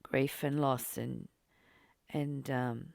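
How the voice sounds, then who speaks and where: A woman's voice: very deep voice, fairly even intonation, drawn-out words, gravelly, darkish tone, no echo, clean studio sound. A woman hosting a reflective spiritual study discussion, speaking in an encouraging, reassuring way. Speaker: study group host.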